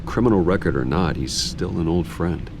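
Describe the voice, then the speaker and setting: An actor playing a calm, guarded wealthy hero in his thirties in a video game, understated and deadpan, with an American accent. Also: low-pitched, normal speed. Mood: calm, wry. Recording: vehicle noise behind voice.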